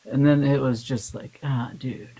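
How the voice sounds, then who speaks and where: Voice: mid-range voice, steady tone, a little slow. An audiobook narrator guest telling rambling, laughing anecdotes about recording work in a casual chat. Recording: faint hiss.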